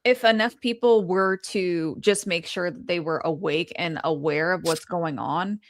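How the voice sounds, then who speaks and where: A woman's voice: fairly low pitch, unhurried, no echo, studio quality. A woman co-hosting a stream, chatting casually with lots of fillers and laughs. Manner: loose, laughing, filler-heavy. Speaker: stream co-host. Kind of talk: casual chat.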